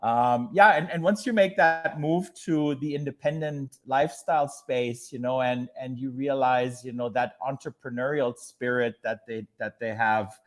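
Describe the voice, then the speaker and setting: A man's voice: mid-range voice, normal speed, few pauses. A man in his forties from Germany with a German accent, a hotel executive talking as a podcast guest in loose, conversational storytelling full of fillers. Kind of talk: casual podcast interview.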